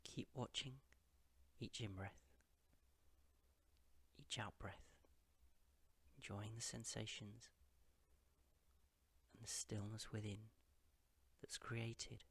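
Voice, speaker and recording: Low, gravelly man guiding meditation. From India. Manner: slow, calm, hushed instruction in short pauses-separated phrases. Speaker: yoga teacher guiding meditation. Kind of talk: guided meditation.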